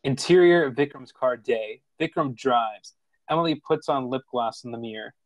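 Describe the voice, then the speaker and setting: A man's voice: medium pitch, moderate pace, dry recording, low-quality recording. Narrator, male: mid-register, informal reading of stage directions, close dry sound. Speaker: narrator of a screenplay table read.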